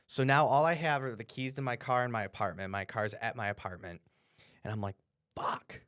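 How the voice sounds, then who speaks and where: Deep-voiced American man telling stories. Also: a little fast, clear, resonant tone, dry recording, clean studio sound. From Cleveland, Ohio, United States. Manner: animated, profane, bro-like slang. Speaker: podcast co-host. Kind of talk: casual storytelling.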